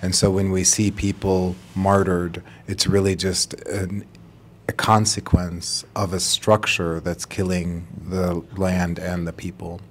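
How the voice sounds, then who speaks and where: A man in his forties from Palestine, speaking as a guest in an interview in an earnest, measured, explanatory way. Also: low voice, little pitch movement, a little hiss or hum. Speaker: guest, educator and organizer. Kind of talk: conversational interview.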